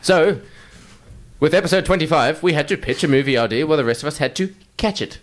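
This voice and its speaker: A man's voice: a little high, animated, fast. A man co-hosting a podcast in casual, jokey group chat with plenty of swearing. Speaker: podcast co-host.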